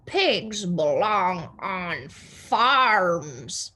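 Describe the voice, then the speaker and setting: A woman's voice: fairly low pitch, very animated, drawn-out words, sharp tone, husky, exaggerated voice. A woman voicing a stern, opinionated, scolding older sister in a children's storybook. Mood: cross, scolding. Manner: scolding, emphatic, certain of being right.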